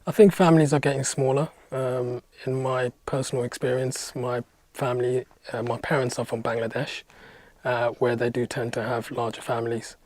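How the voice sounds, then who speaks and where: A man giving his opinion in a hesitant, halting way. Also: mid-range voice, little pitch movement, darkish tone, no room sound. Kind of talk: casual spoken opinion.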